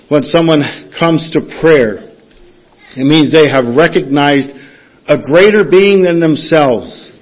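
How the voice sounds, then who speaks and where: A man preaching a sermon, reading scripture aloud and putting questions to the congregation in an earnest teaching manner. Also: a little high. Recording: dull lo-fi sound.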